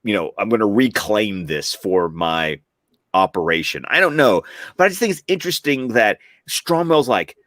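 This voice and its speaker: A man's voice: fairly low pitch, expressive, moderate pace, fairly bright tone, no room sound, clean studio sound. A man co-hosting a recap show, talking casually and reflectively as he analyses the story and draws comparisons. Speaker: podcast co-host discussing cartoon episodes. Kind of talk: casual chat, commentary.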